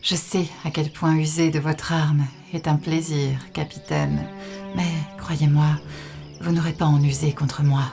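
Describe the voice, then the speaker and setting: Over music comes a dignified alien priestess with a low voice. She is solemn, cryptic and unruffled, every phrase measured, in a science-fiction audio drama. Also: little pitch movement. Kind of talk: French sci-fi audio drama.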